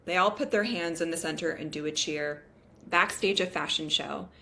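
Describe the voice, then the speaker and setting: A woman's voice: on the low side, normal speed, bright, forceful tone, slight background noise. A woman reading stage directions as the narrator of a scripted table read.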